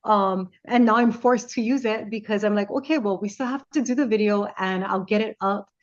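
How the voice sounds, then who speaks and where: A woman radio host talks in a chatty, playful way, walking through each step like she is guiding a listener. Her voice sits in a middle pitch for a woman. The recording is a little dull and lo-fi. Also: brisk. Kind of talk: casual chat.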